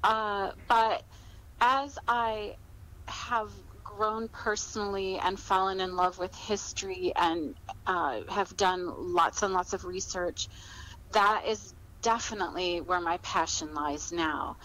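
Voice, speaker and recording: A woman with an American accent speaking as an author in a podcast interview, explaining things in a measured, conversational way. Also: mid-range voice, fairly even intonation, bright, forceful tone, low-quality recording.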